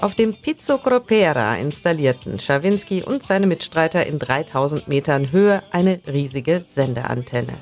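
A man's voice: fairly high pitch, expressive, normal speed, few pauses. Radio feature narrator, male, over music. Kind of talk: scripted documentary narration.

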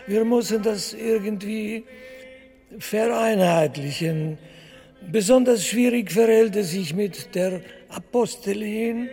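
A man playing a scheming, gleeful cardinal in a radio play, with pompous, deliberate declamation. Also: high voice, mellow. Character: scheming, gleeful cardinal presiding over a church council. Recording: long hall reverb, music behind.

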